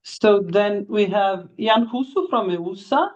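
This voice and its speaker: A woman's voice: mid-range voice, takes it slowly. Woman moderating over lo-fi call audio. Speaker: workshop moderator. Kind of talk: calling on participants in a meeting.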